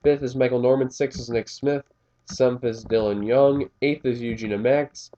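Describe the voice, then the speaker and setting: A man's voice: mid-range voice, steady tone, normal speed, warm tone, highs cut off. A man with a slightly scratchy voice doing casual play-by-play commentary of a race, rambling with fillers and correcting himself as he goes.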